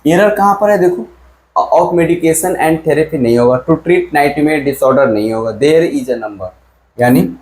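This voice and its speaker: A man's voice: a little high, a little mellow. A male teacher explaining grammar in an exam-prep lesson. He quizzes the viewers again and again and repeats key words. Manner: stretches out English sentences he reads aloud. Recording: echoey room.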